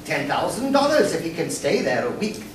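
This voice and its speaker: A woman's voice: low-pitched, sing-song, moderate pace. A woman playing a naive, muddled, earnest boyish male castaway in a staged comic radio play. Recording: echoey room, distant mic, faint noise.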